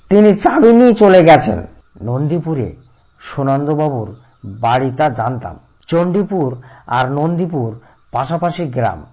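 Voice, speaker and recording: A man's voice: on the high side, a little mellow, poor audio. A man telling a scripted first-person horror story as its narrator.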